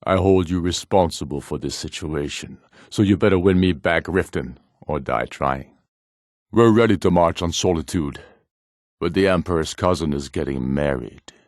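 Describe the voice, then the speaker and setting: A man acting as a deep, low-voiced warrior jarl from a fantasy game, recorded close and dry. He leads a rebellion with proud authority and speaks in a grave, formal, commanding way. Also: moderate pace, darkish tone, no room sound, close to an everyday voice. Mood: stern, low, then measured, resolute.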